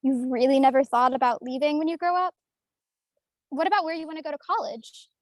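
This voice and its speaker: A woman's voice: sits high, fairly even intonation, fairly quick, no room sound, studio quality, close to an everyday voice. A woman reading the part of a confident, ambitious, slightly boastful young girl at a script table read, brash and challenging. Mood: eager, probing.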